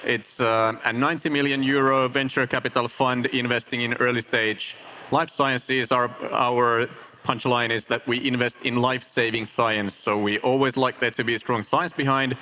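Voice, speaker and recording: A man's voice: medium pitch, level tone, moderate pace, sharp tone. A man speaking as an investor panelist, presenting himself on a conference stage. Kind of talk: panel self-introduction. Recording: faint ambient noise, live event stage.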